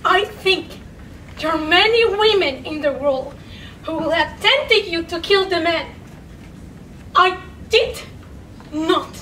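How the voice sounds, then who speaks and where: A young woman performing a dramatic poetry reading, slow and pleading with sudden loud swells, in a large room. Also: extremely high pitch, far from the mic. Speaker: contest reader. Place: large college event room. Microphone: far camera, standing away from mic. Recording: echoey room recorded from afar, noisy.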